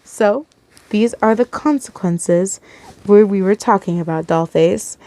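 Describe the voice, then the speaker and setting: A woman's voice: mid-range voice, moderate pace, dark tone, clean tone, no room sound, natural voice. Woman as smug, purring male dream demon.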